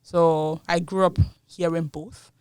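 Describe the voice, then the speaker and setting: A woman's voice: lowish voice, little pitch movement, takes it slowly, a little mellow, smooth, no room sound. A young woman in her twenties from Nigeria, speaking Yoruba natively, talking as a guest in a casual interview and laughing often between phrases. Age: 20s. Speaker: guest, university student.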